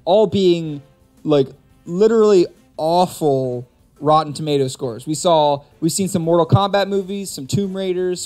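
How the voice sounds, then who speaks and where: A man's voice: a little high, darkish tone. A man hosting a live stream, chatting casually and giving opinions with emphatic, rhetorical build-up. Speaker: host of a gaming and movie live stream. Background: background music.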